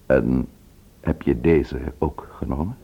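A man's voice: extremely low pitch, slow pace, husky, exaggerated voice. An actor playing a courteous, persistent man investigating a murder in a radio drama, with hesitant fillers before his pointed questions. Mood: probing, courteous. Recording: dull, lo-fi old radio recording.

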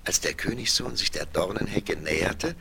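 An actor as an expressive, dramatic narrator of a 1970s German fairy-tale audio drama. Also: male voice, low voice, moderate pace, vocal fry, heavily acted. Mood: measured storytelling. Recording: faintly noisy vinyl record.

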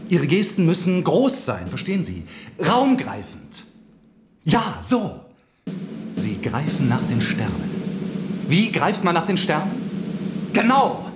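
An actor playing a flamboyant, exacting image coach in a radio drama, teaching grand gestures in a theatrical manner. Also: male voice, on the high side, huge pitch swings, slow, pauses often, exaggerated voice. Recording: roomy echo, loud music underneath.

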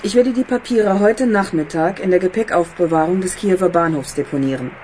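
A woman's voice: lowish voice, fairly quick, warm tone, slight background noise, natural voice, low-quality recording. A woman playing a discreet, businesslike messenger in a radio drama, speaking in a guarded, composed way. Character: composed, discreet female go-between passing on messages. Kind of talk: radio drama phone dialogue.

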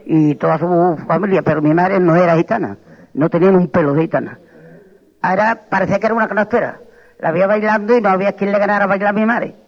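A woman's voice: medium pitch, fast talker, darkish tone. A woman, a flamenco singer telling her story in an old radio interview, repeating her points insistently. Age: 60s. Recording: dull old radio tape with reverb.

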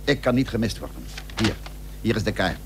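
Determined political leader. Formal, emphatic radio-play delivery, gravelly edge, faintly humming old recording. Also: male voice, fairly low pitch, big pitch swings, a little fast, background noise. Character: shrewd, determined statesman, commanding yet diplomatic schemer. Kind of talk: historical radio play acting.

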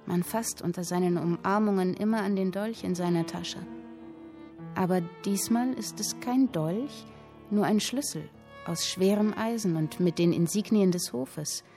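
An actress playing a woman who tells a literary tale in a radio play, with measured, dramatic, deliberate phrasing. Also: medium pitch, warm tone, faint music behind, close to an everyday voice. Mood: measured, wary. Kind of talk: literary radio play storytelling in present tense.

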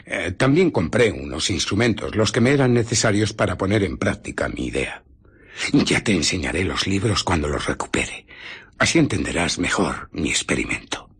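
A man with a Castilian Spanish accent playing an embittered, vengeful invisible scientist in a radio drama, brooding theatrically with pauses and breathy murmurs. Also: low-pitched, full character voice. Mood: intent, secretive.

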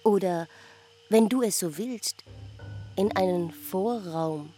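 An actress playing a hopeful, devoted village woman in a literary radio drama, speaking in halting, deliberate broken phrases. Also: medium pitch, dark tone, close to an everyday voice. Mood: hesitant hope. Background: music.

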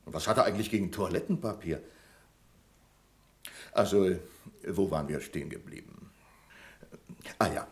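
A man's voice: deep voice, normal speed, long pauses. An actor playing a pedantic, overbearing male bank branch manager in a 1970s German radio play, imperious and self-important. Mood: brisk, self-important.

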